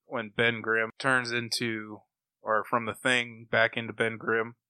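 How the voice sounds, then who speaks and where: A man's voice: medium pitch, little pitch movement, measured pace, pushed and bright, clear, resonant tone, no echo. A man chatting casually as a panelist on a podcast. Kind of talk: casual chat.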